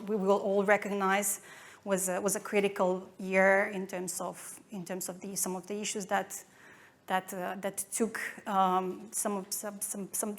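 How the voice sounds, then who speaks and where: A woman in her thirties from Romania with a Romanian accent, giving a conference talk with many fillers and restarts. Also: medium pitch. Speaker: conference speaker.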